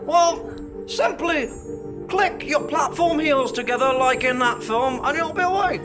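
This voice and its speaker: A man's voice: very high-pitched, unhurried, music in the background. A man acting a theatrical, chirpy yet blunt magical guide, proclaiming in a live stage comedy. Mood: breezy.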